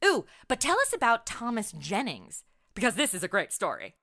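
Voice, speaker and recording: A woman's voice: on the high side, wildly swooping pitch, normal speed, fairly bright tone. A woman hosting a podcast with playful, quippy, semi-scripted comedic interview banter. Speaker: podcast host.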